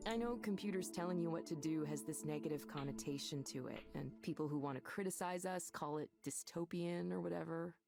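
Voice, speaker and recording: A woman's voice: deep voice, fairly quick, gravelly, hardly any pauses, a little mellow, soft background music. An actress playing a matter-of-fact, easygoing woman who supervises counselors in a visual novel game. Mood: encouraging, casual.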